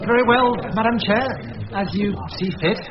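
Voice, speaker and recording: An actor playing a polite, flustered young prosecuting barrister with a formal, deferential manner in a radio drama. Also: male voice, high voice, normal speed, lo-fi sound, a crowd in the background, lightly acted.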